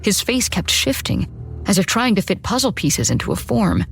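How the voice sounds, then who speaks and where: A woman's voice: medium pitch, big pitch swings, normal speed, gravelly. A woman narrating a first-person horror story in a dramatic storytelling style. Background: background music.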